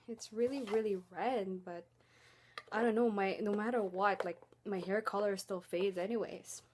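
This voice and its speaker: A young woman casually talking through her hair care routine, chatty and rambling with lots of filler words. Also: mid-range voice. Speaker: beauty vlogger.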